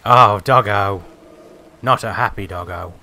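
A man's voice: on the low side, measured pace, vocal fry, noisy background. A man giving casual first-impressions commentary as he plays a video game. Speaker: game reviewer and streamer.

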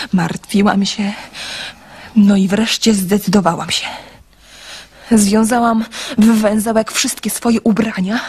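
A woman's voice: mid-range voice, husky. A woman playing a young lovesick girl in a radio drama, anxious and wistful, confiding her story with emotion.

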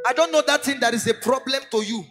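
A man's voice: very high-pitched, fast. A young Nigerian-accented man leading worship on stage, exhorting in a fervent, urgent, repetitive way into a handheld microphone. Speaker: gospel minister leading worship. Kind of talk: fervent church exhortation over music. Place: large church auditorium stage. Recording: live stage sound with loud music. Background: loud worship music.

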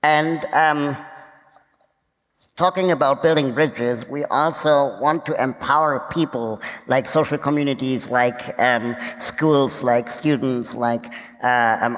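A man's voice: mid-range voice, a little slow, a bit bright. A man giving a casual keynote talk on a conference stage, with frequent restarts. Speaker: keynote speaker. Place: large conference hall stage. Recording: reverberant hall.

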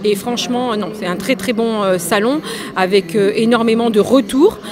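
A woman organizing a wedding fair, answering quickly and enthusiastically into a handheld mic, with many fillers. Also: a little high. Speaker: event organizer and shop owner. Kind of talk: interview answer. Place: indoor exhibition hall.

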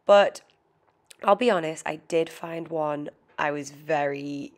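A woman's voice: sits low, takes it slowly. A British woman in her twenties with a nasal, cold-congested voice, giving a chatty, gushing vlog voiceover. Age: 20s. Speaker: vlogger. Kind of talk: casual voiceover narration.

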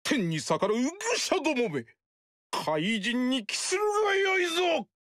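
A man's voice: extremely high pitch, huge pitch swings, unhurried, a bit bright, no echo, clean studio sound, heavily acted. An actor playing a fanatical older male sorcerer who bellows heaven-invoking battle cries in a game. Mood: zealous. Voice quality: bellowing, sermon-like proclamations. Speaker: game character.

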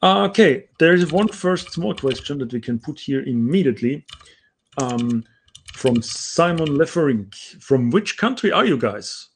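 A man's voice: on the high side, lively intonation, a little slow, slight background noise. A middle-aged man from Austria with an Austrian German accent, co-hosting a casual video call chat, talking in a chatty way with many fillers. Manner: chatty, many um fillers, reads names out carefully. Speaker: co-host. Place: home room with bookshelves. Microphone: video call with earbud.

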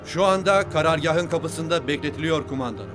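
Man voicing obedient soldier, fast, clipped, deferential, war cartoon, clear music behind. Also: fairly high pitch, a bit bright, close to an everyday voice. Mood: dutiful, brisk. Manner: clipped, deferential military address. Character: obedient, brisk soldier reporting to commander. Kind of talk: cartoon war drama dubbing. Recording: music playing under the voice. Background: background music.

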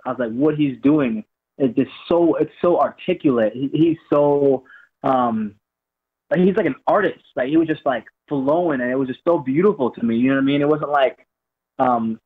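A man's voice: fairly high pitch, slightly rough. A young man from Jamaica, raised in Florida, calling in as a guest fighter and talking loosely, often repeating words. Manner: relaxed, rambling, repeats words. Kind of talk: phone-in interview. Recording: tinny phone line.

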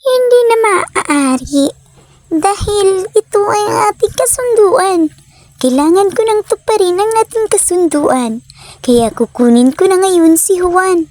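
A woman's voice: very high voice, animated, measured pace, a little mellow. A woman playing a stern, solemn enchantress fairy in a cartoon story, firmly refusing to bend on a pact. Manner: firm, solemn, unbending.

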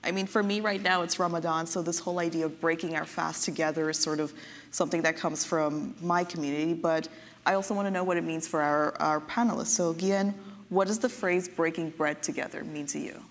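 A woman's voice: low voice, fairly even intonation, a little fast. A woman moderating a panel discussion, asking questions conversationally. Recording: hall reverb, faint noise.

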